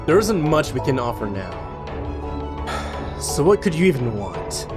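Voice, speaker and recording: A male actor plays a proud male king of divine blood, haughty and guarded. His formal speech swings widely in pitch, as in a fantasy audio drama, with music clearly behind it. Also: big pitch swings, unhurried. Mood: resigned. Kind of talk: audio drama character acting. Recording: music under the voice.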